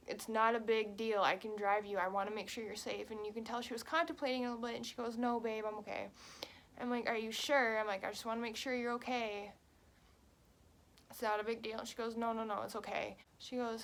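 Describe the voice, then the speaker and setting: A young woman in her twenties tells a story to her camera, talking quickly with plenty of "like" and "you know". Her voice sits a little high and it stays casual and chatty. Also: steady tone, a bit bright. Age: 20s. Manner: chatty, quick, many fillers. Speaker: vlogger. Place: at home.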